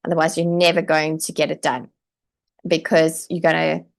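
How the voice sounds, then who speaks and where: Chatty woman coaching, gravelly voice. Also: sits low, flat delivery, normal speed. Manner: chatty, with frequent "okay" and "like"; encouraging, direct advice. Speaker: online English teacher and coach. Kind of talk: casual live-stream teaching.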